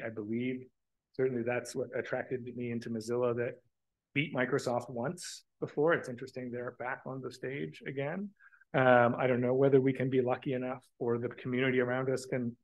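A middle-aged man giving a conference keynote from a podium in a lecture room, thoughtful and halting, restarting his phrases. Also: medium pitch, rough recording. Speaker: keynote speaker. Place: lecture room with large windows. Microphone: podium microphone.

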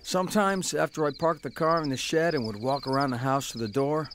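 A man's voice: on the high side, fairly even intonation, fairly quick, a bit bright, faint music behind. An actor playing a grown son who narrates his crooked youth and war years in a scripted radio drama, plain-spoken and matter-of-fact.

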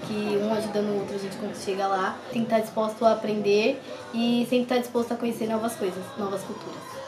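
A woman's voice: medium pitch, level tone, fast. A teenage Brazilian girl, a dance group member, narrating a recap. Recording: echoey room with music behind.

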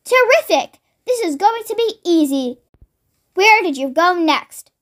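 Child putting on an excitable high-pitched farmer father. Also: a little slow, full character voice. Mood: eager. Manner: exclaiming, emphatic, bossy. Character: excitable, impatient, grandly scheming farmer father.

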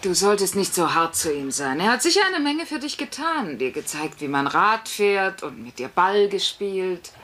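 Bossy, sardonic housewife. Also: female voice, lowish voice, sing-song, moderate pace, hardly any pauses, a bit bright. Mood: scolding, sardonic. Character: blunt, bossy, wisecracking working-class housewife and mother. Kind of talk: German-dubbed sitcom acting. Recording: noisy TV sitcom soundtrack.

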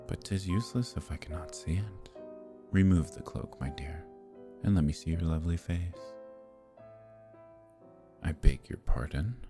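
A man acts out a proud fae prince with an extremely low, gravelly voice. He speaks in archaic, courtly phrases, husky and intimate, teasing his beloved in an ASMR fantasy roleplay over faint music. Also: warm tone, heavily acted. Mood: tender, then vexed, menacing. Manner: courtly, measured, dropping into whispers.

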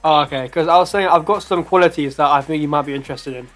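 Young male prank-call host, casual delivery, voice a little high, music playing clearly underneath. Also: quick pace, a bit bright. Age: young adult. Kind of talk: casual chat and prank calls. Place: small bedroom, indoors.

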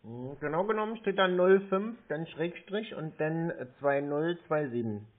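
A man on a phone call who plays along as a card holder, calmly reading out numbers one digit at a time. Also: on the high side, normal speed. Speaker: caller posing as a card holder. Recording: narrow phone line.

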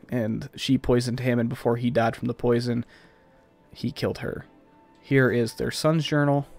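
A man's voice: medium pitch, darkish tone. A man with a slightly stuffed-up, scratchy voice giving casual, rambling gameplay commentary as a let's play host.

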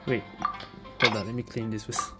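A woman's voice: extremely low pitch, flat delivery, moderate pace, over music. A woman giving casual commentary as she plays a video game.

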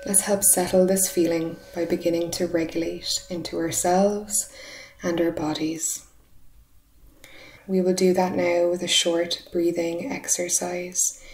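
A woman leading a guided meditation in a soft, soothing, breathy voice, with short unhurried phrases. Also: lowish voice. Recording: roomy echo, steady humming background noise. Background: humming sound bath tones.

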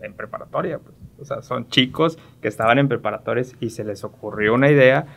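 A man's voice: mid-range voice, a little fast, gravelly. A man, talking conversationally on a podcast and often ending phrases with short tag questions. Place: small padded podcast studio. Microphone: close studio mic on stand.

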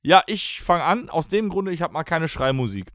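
A man's voice: a little high, lively intonation, moderate pace, background noise. A man co-hosting a podcast in casual chat, with a dry, teasing way of talking. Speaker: podcast co-host.

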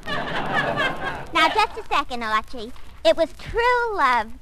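A woman's voice: extremely high pitch, huge pitch swings, slow pace, bright, forceful tone, a faint crowd, exaggerated voice, rough recording. A woman playing a brash, defensive daughter of a tavern boss in an old-time radio comedy. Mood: indignant. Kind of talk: 1940s radio comedy acting.

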